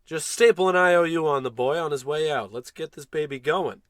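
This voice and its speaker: A man's voice: on the high side, fairly quick, no echo, natural voice. A man playing a pompous, callous American spaceship captain in a comic old-time radio play, bossy and grandiose with dry sarcastic asides. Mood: scheming, grandiose.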